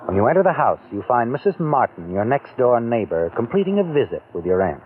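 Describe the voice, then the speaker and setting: Male narrator, lively insinuating delivery, tinny old radio sound. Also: medium pitch, a little fast. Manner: insinuating, teasing questions to the listener. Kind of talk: second-person radio drama narration.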